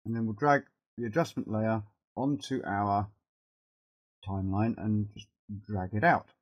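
A middle-aged man with a cold and a fading, husky voice, talking haltingly through an informal step-by-step software tutorial and thinking aloud as he works. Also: lowish voice, dull audio. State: has a cold, losing his voice. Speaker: video editing tutorial presenter.

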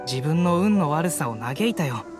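A man's voice: fairly high pitch, animated, normal speed, music in the background, close to an everyday voice. A man playing an earnest young adventurer in anime-style game dialogue, telling his story expressively. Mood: earnest recounting.

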